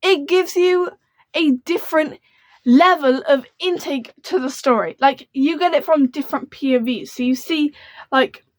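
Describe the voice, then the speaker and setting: A young woman who speaks in a soft, quiet voice, chatting to camera about books in a rambling, gushing way. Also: high-pitched, unhurried, no echo. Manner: rambling and gushing, full of fillers. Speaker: book vlogger. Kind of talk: casual book chat to camera.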